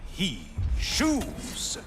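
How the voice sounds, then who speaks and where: An actor playing a gleeful, mocking creature in a video game, with a shrill creaky voice and a theatrical, taunting delivery. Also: male voice, huge pitch swings, drawn-out words, over music, full character voice. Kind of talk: exaggerated video game acting.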